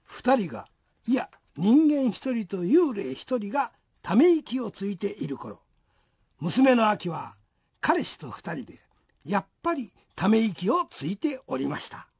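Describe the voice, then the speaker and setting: A narrator of a scripted radio drama, husky, addressing listeners like a storytelling showman. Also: mid-range voice, sing-song, a little slow, a little mellow.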